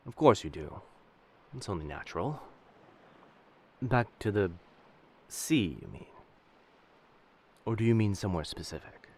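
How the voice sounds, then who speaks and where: A low-voiced pirate captain, acted by a man for an audio drama, roguish and commanding, with old seafaring turns of phrase. The delivery swings widely in pitch, able to be both tender and menacing. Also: lively intonation, measured pace, long pauses, a little hiss or hum. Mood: intrigued, gentle. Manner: old seafaring diction, addresses others as lass and sir. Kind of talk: roleplay audio drama, speaking to the listener.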